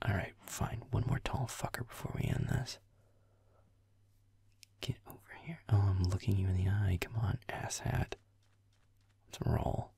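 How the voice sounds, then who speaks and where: Guy acting as grumpy sweary werewolf boyfriend for roleplay audio, slow gravelly muttering, half whispered. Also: sits low, warm tone, heavily acted. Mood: irritated muttering. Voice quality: breathy, husky, gravelly whisper. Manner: sullen muttering, swearing, sarcastic. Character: grumpy, foul-mouthed young werewolf boyfriend gaming late at night. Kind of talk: intimate roleplay audio, muttered gaming commentary.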